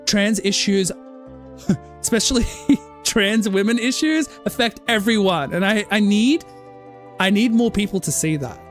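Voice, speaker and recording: A woman's voice: medium pitch, measured pace, pauses often, faint music behind. A woman game streamer on a casual livestream, ranting with passion, leaning on "like" and cutting herself off to restart. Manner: impassioned ranting, heavy use of "like"; self-interrupting restarts.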